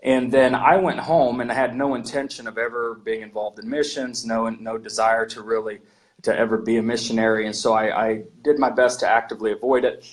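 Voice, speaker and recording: A man's voice: medium pitch, steady tone, a little fast, low-quality recording. A man with an American accent, a missionary, reflecting earnestly on his past with some hesitations over a video call. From United States.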